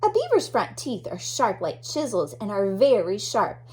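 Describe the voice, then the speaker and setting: A woman's voice: medium pitch, huge pitch swings, normal speed, poor audio. A woman teacher leading a read-aloud lesson for young children, explaining things and repeating key words for emphasis. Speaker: elementary teacher reading aloud.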